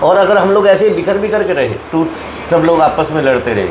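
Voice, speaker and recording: A man's voice: a little high, lively intonation, brisk. A man speaking as an association leader in an interview, earnestly appealing to members and repeating points for emphasis. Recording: echoey room with background noise.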